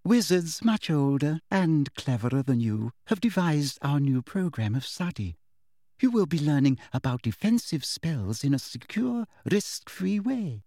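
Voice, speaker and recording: A prim, patronizing schoolmistress, put on by a man reading a storybook, who talks in a soft, sugary, high voice that rises and falls in a sing-song. Her sweetness never sounds sincere. The recording is dry and close. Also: very animated, a little slow, dry recording, heavily acted. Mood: falsely sweet. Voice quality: sweet, breathy, prissy and patronizing. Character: sugary, condescending female teacher, falsely sweet.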